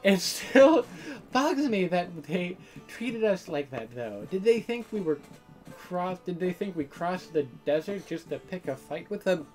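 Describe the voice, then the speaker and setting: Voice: mid-range voice, big pitch swings, measured pace, a little mellow, faint music behind. A woman voicing a guarded, grumpy young sister in a visual novel game.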